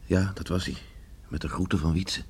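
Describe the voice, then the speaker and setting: Deep-voiced, stubborn farmer father. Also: flat delivery, husky. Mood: defensive, remorseful. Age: middle-aged. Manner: brooding, halting, muttering to himself. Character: stern, stubborn farmer father, troubled by remorse. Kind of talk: Dutch radio play acting. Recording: faint background noise on radio recording.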